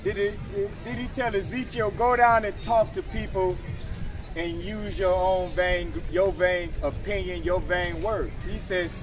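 A man's voice: sits high, steady tone, a little slow, music in the background. An American man preaching on the street in a confrontational, argumentative way, insisting on scripture and calling for readings. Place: outdoor waterfront brick plaza. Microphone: camera a few meters away.

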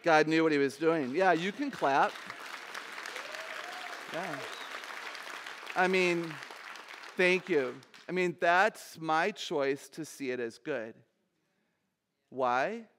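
Woman in her sixties, low voice, animated humorous speaker, large stage, headset mic. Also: slow, long pauses, a crowd in the background, background noise. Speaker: conference speaker.

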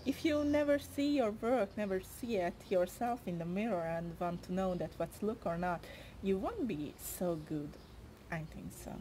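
A woman in her twenties with a Hungarian accent and Hungarian as her first language, answering interview questions in a soft-spoken, hesitant way with frequent pauses and fillers. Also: medium pitch, a little hiss or hum, low-quality recording. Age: 20s.

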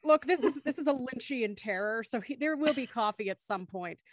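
Casting: young woman, high-pitched, casual show host, dry home recording. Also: expressive, fairly quick, no echo. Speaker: host of a podcast after-show. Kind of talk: casual hosting chat over video call. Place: home room, on a group video call.

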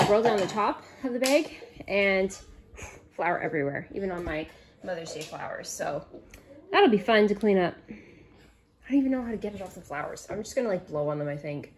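A woman's voice: medium pitch, animated, brisk. A woman in her thirties giving casual, rambling, offhand narration as she cooks in a home kitchen, recorded on a phone. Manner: rambling, offhand self-commentary. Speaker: home cooking vlogger. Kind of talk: casual chatty narration while cooking. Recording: clear background noise, kitchen clicks.

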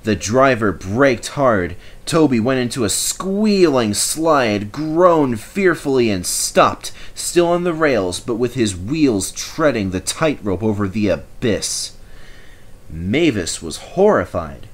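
A man reading a children's story aloud in expressive, scripted narration. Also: medium pitch, takes it slowly. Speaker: storybook reader.

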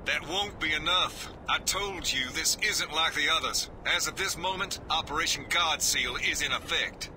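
An actor playing a grave, guarded soldier-priest in a video game, explaining in a solemn, earnest way. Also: male voice, on the high side, moderate pace, hardly any pauses, noisy background, lightly acted. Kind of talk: video game cutscene acting.

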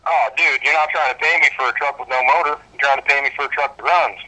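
Dude on a phone call, bright punchy voice, slangy and informal. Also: male voice, medium pitch, steady tone, fast talker. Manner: slangy, laid-back wording with frequent "man" and "dude". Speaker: truck seller on a phone call. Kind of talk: casual phone conversation.

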